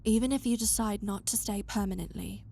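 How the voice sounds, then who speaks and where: A woman's voice: mid-range voice, brisk, gravelly, dark tone. A woman voicing a composed young noblewoman, precise and dryly sarcastic but caring, in an audio drama. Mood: gentle.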